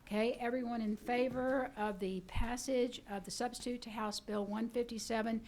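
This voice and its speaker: A woman chairing a legislative committee hearing, running votes and calling speakers in a brisk, procedural way. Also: a little high, steady tone, darkish tone, slight background noise.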